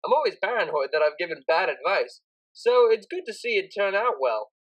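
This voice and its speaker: A woman voicing an energetic, kindly male pony tutor with a cheerful, awkward, rambling manner in an audiobook. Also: lowish voice, fairly quick, rough recording. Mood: warm, pleased.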